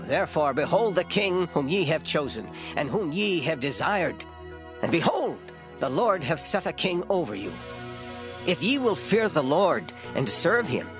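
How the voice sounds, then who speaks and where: An actor playing an old, gray-headed prophet, solemn, stern and declamatory, in a dramatized scripture reading. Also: male voice, on the high side. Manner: declamatory, grave.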